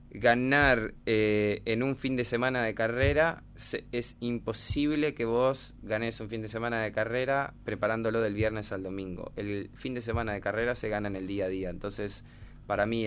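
A man in his twenties with a Uruguayan accent, a racing driver answering interview questions in a halting way, often repeating words while he thinks. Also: fairly low pitch, slight background noise. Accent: Uruguayan Spanish. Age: 20s. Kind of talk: casual interview talk.

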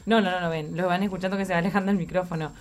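Uruguayan lady interviewer, lowish voice, talks really fast, chatty and informal. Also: steady tone. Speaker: interviewer, programme host. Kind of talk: casual conversational interview.

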